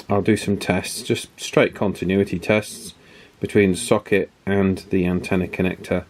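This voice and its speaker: A man's voice: low voice, a little mellow. A man giving informal hands-on repair commentary as the host, explaining things as he thinks aloud. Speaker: radio repair hobbyist and video host.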